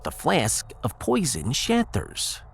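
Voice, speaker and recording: Guy doing eccentric russian lady scientist, haughty lecturer, slow and super sing-song, audiodrama. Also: mid-range voice, wildly swooping pitch, creaky, soft background music, full character voice. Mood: lecturing. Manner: lecturing, dropping articles, teasing. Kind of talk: science fiction audiodrama acting.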